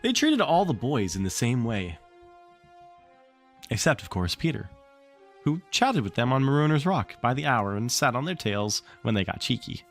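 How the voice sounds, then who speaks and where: A man reading a children's story aloud on a livestream in an expressive, theatrical way, with casual asides to the chat. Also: mid-range voice, moderate pace, soft background music.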